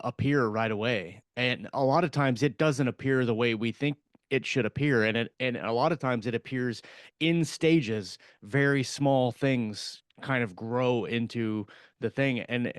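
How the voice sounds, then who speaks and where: A man co-hosting a podcast, speaking in a thoughtful, steady way and often agreeing with the others. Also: mid-range voice, clean tone, no room sound, clean studio sound. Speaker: podcast co-host. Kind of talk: casual panel discussion.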